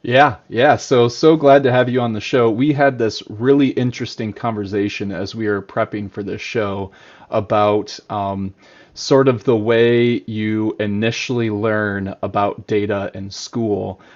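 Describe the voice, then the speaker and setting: An upbeat man hosts a live-streamed interview show in a lowish voice, dropping in frequent uhs as he introduces his guest. His audio comes through dull and lo-fi. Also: fairly even intonation, a little slow, a little mellow. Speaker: podcast host.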